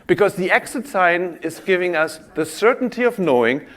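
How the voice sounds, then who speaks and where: A man presents on a conference stage, talking straight to his audience. His voice is a bit higher than most men's and swings widely as he tells his stories. He draws the crowd in as he talks. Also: normal speed. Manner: animated, audience-engaging storyteller. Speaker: conference speaker. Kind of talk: keynote-style presentation.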